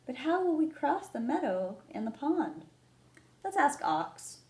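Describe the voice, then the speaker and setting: A woman's voice: high voice, very animated, measured pace, a little mellow, pitched above the performer's own voice. A woman voicing friendly, eager animals in a children's storybook, with a cheery, childlike delivery. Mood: cheerful, eager. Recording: roomy echo, background noise.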